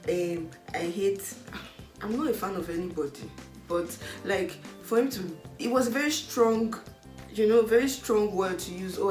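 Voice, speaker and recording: A woman's voice: on the low side, darkish tone, roomy sound. A young woman with a Nigerian accent hosting her own channel, chatting frankly and with animation. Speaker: vlogger host. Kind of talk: casual chat.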